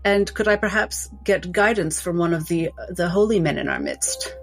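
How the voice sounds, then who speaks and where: A woman narrating her character's moves as a tabletop game player, halting and thoughtful. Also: lowish voice, creaky, faint music behind. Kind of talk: improvised roleplay narration.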